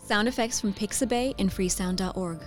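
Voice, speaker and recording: A woman's voice: medium pitch, moderate pace, soft background music. A woman reading a scripted credits announcement for a radio drama.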